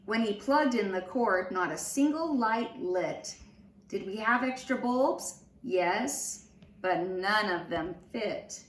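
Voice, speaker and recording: Slow-reading woman in an echoey room. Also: medium pitch. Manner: slow, animated storytelling with acted voices. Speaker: storybook reader. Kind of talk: expressive children's read-aloud. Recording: roomy, distant mic with faint noise.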